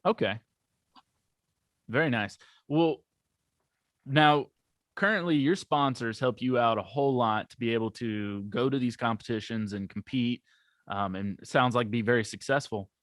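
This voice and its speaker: A man's voice: medium pitch, normal speed, fairly bright tone, no echo, clean studio sound. A man hosting a podcast, asking friendly, chatty questions and affirming often. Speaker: podcast host. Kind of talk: casual podcast interview.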